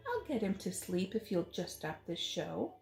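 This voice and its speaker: A polite, assured old woman from a bedtime story as a woman reader plays her. The voice is mellow with some creak, recorded in an echoey room. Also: fairly low pitch, sing-song, normal speed. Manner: courteous, assured.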